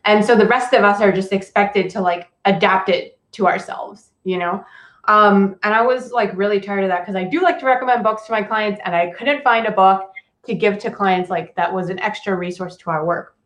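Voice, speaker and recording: A woman's voice: medium pitch, moderate pace. Chatty woman guest in an echoey room. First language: Cantonese. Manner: casual, frequent "like" and "um", laughs often. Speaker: author and career coach guest. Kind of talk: podcast interview.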